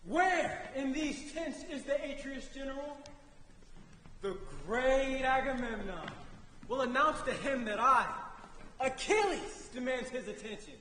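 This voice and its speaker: Proud young warrior, male actor: high voice, bold theatrical declaiming, echoey hall, faint noise. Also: lively intonation, a little slow, sharp tone, exaggerated voice. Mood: demanding, boastful. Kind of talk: theatrical stage acting.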